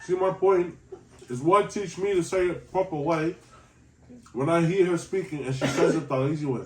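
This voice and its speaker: A man's voice: fairly high pitch, normal speed, a little mellow. A young man from New Zealand with a New Zealand accent, playfully joking and ribbing his wife in casual banter. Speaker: co-host of couple food channel. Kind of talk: casual banter between partners. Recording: echoey room, mic at a distance.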